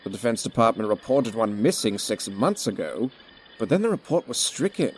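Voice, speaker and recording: A man playing a serious, measured business advisor in an audio drama, speaking precisely. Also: on the low side, big pitch swings, slight background noise.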